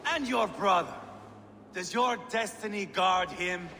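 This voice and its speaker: A man's voice: high voice, slow, bright, forceful tone, full character voice. A man playing a bloodthirsty, mocking Viking warlord in a video game, with menace and swagger. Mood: challenging. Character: bloodthirsty, volatile, taunting Viking warlord, male. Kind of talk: video game cutscene acting. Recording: echoing hall with background noise.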